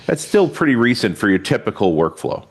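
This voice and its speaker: A man's voice: medium pitch, big pitch swings, brisk, husky. A man on a tech podcast panel, explaining in a matter-of-fact way. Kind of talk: casual chat.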